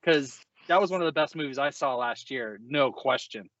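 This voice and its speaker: A man's voice: mid-range voice, moderate pace, fairly bright tone, no room sound. Man, show host, effusive, casual chat, dry sound, slightly muffled audio. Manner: enthusiastic, effusive. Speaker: podcast host, company executive.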